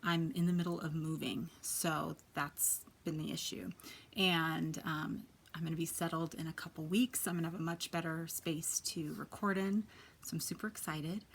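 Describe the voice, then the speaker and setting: Soft quiet lady doing a tarot reading, kinda low voice, lots of ums and likes. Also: steady tone, normal speed, gravelly, a little hiss or hum. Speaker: tarot reader. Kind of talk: casual tarot reading to camera.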